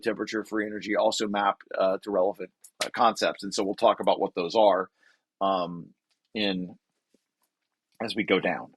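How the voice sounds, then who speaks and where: A man presenting a technical paper aloud, reading and adding explanations in a halting way with self-corrections. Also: fairly low pitch, a bit bright, slightly rough, dry recording. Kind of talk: technical table read with explanatory asides.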